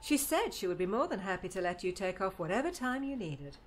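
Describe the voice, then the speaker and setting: A woman's voice: medium pitch, big pitch swings, fairly quick, a little hiss or hum, close to an everyday voice. A woman playing a doting, fussing middle-aged mother in an audiobook drama, speaking with emotion.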